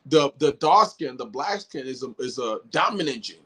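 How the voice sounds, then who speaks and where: A man's voice: medium pitch, animated, moderate pace, bright, forceful tone, slightly rough. Earnest Nigerian man, guest in interview. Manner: earnest, explanatory, many fillers and restarts. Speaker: company CEO, interview guest. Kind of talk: casual interview talk.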